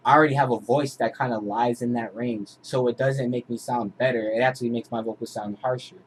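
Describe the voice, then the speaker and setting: A man with a mid-pitched voice gives an informal audio tutorial, explaining step by step like a producer. There is clear noise behind him. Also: fairly even intonation, brisk. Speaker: music producer teaching vocal mixing.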